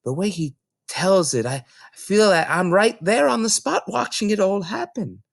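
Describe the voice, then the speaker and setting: A man's voice: high-pitched, expressive, a little slow, vocal fry, dry recording, studio quality, voice pushed up, heavily acted. A man voicing a small bookish girl who is polite, earnest and eager, in a children's storybook reading.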